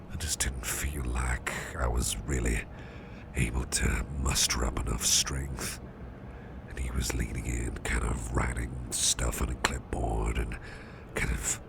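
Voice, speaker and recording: Man playing a very deep, gravelly gruff boss. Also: exaggerated voice. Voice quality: very deep, gravelly, breathy half-whisper. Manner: hesitant, rambling, unhurried. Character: gruff, protective male boss, easygoing and caring confidant. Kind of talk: ASMR roleplay, soft intimate storytelling to the listener. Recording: clearly noisy background hiss or ambience.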